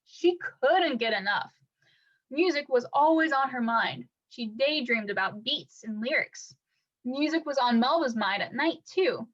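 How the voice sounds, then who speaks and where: A woman reading a picture book aloud for children like a teacher, with expressive, deliberate storytelling. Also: high voice, lo-fi sound.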